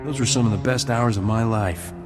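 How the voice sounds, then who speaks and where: An actor playing a suave, flirtatious smuggler captain in a video game, with a smooth way of talking. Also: male voice, medium pitch, a little fast, over music, close to an everyday voice. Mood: guarded.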